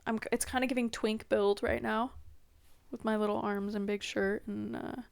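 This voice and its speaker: A woman's voice: medium pitch, steady tone, normal speed, gravelly, no room sound. Chatty young woman with a stuffy nose. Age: young adult. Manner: chatty, scattered, self-deprecating. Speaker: podcast host. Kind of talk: rambling casual vlog chat. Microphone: laptop built-in mic.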